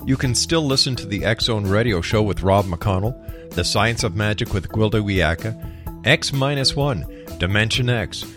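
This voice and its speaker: A man's voice: lowish voice, expressive, normal speed, music in the background. A man presenting a radio show and reading announcements on a studio microphone. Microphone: studio broadcast mic.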